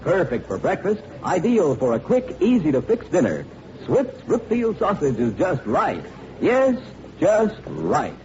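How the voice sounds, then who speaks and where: A man's voice: high voice, huge pitch swings, unhurried, dark tone. A man announcing and reading sponsor spots in cheerful, emphatic 1940s radio style. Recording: dull, faintly noisy vintage radio recording.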